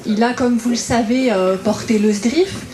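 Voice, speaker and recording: A woman speaking as an invited regional councillor at a public political meeting, in halting phrases with many filled pauses. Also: on the high side, mellow. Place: indoor public meeting room. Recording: roomy echo, audible background noise.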